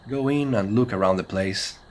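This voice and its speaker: A man playing a serious, wary Spanish-speaking monster hunter in an audio drama, with terse and formal phrasing. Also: lowish voice. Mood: reluctant. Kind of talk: horror audio drama acting.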